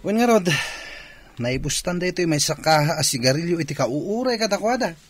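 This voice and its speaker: An actor playing an impatient, grumbling young drinking buddy in a radio drama. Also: male voice, fairly high pitch, animated, fairly quick, music in the background, lightly acted.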